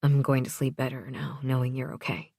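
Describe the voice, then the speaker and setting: Sleepy young boyfriend, played by a woman, low pitch, soft mumble, tender, romance audiobook. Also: normal speed, slightly rough, dry recording, studio quality.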